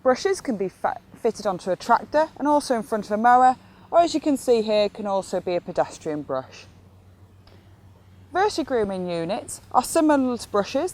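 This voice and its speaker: A woman's voice: mid-range voice, a little mellow. A woman giving scripted instructional narration as an instructor in an educational film.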